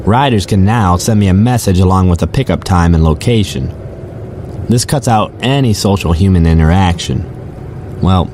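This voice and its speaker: A man's voice: low-pitched, warm tone, slight background noise. A man playing a chatty, sardonic rideshare driver, telling his story in the first person for an audio drama. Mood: wry, griping. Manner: casual, sardonic, conversational. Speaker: narrator and lead character.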